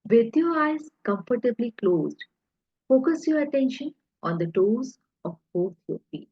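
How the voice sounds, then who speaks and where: Slow, husky woman guiding hypnosis. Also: fairly high pitch, very animated, dry recording, dull audio. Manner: soothing, deliberate, pausing between short phrases. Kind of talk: guided hypnosis relaxation narration.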